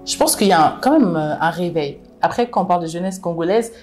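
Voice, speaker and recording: Woman from France, lowish voice, earnest, conversational, faint music behind. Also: expressive, fast talker, darkish tone. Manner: earnest, frequent restarts and affirming "exactement". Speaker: book club founder, interview guest. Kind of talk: casual conversational interview.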